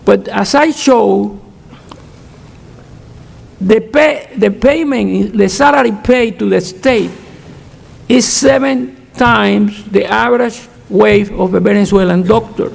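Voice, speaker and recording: Gravelly high-voiced older man, emphatic. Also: takes it slowly. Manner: emphatic, frank, repeats words when restarting. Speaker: conference panelist, economist. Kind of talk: answering audience questions at an academic panel. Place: conference hall. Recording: reverberant hall.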